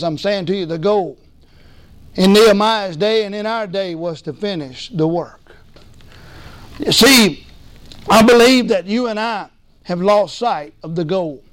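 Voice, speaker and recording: A man preaching a sermon as pastor, hoarse from losing his voice, emphatic and calling for responses. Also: high voice, dry recording. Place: church sanctuary.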